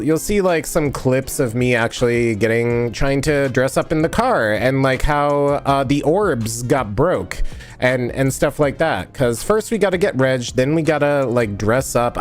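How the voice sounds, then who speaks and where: A man live streaming and still sniffly from a recent cold. He rambles from one topic to the next, thanks viewers and answers their comments, with faint music playing underneath. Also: medium pitch. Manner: rambling, chatty, reads and answers chat. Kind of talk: casual live-stream chat while editing.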